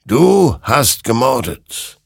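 A man voicing an ancient, menacing spectral rider made of burning souls, speaking in solemn, accusing pronouncements in a dark fantasy audiobook. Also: medium pitch, very animated, takes it slowly, vocal fry, slightly rough, no echo, studio quality, pitched above the performer's own voice, exaggerated voice.

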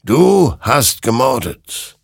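A man's voice: fairly low pitch, very animated, takes it slowly, gravelly, a bit bright, slightly rough, no echo, studio quality, pitched above the performer's own voice, heavily acted. A man voicing an all-knowing spectral rider of smoke and burning souls in a dramatic story, solemn and accusing. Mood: menacing, accusing.

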